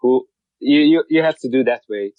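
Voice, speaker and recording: A man from Hungary speaking English with a Hungarian accent as a podcast guest, talking in broken, halting sentences with many repeated words and restarts. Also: medium pitch, no echo, phone-quality sound. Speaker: guest, car wrap shop owner. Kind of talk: casual interview.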